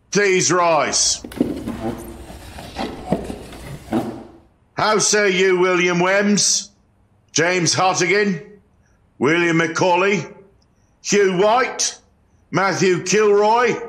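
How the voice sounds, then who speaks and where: A man's voice: high-pitched, fairly bright tone, a little hiss or hum. A man playing a solemn, formal colonial court clerk in a historical reenactment, with a ceremonious, declamatory manner.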